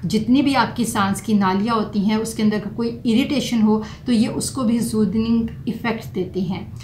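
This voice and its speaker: A woman's voice: a little high, moderate pace, darkish tone. A middle-aged woman, a doctor explaining home remedies to camera and mixing in English terms. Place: small furnished room indoors. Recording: roomy echo, faint background noise.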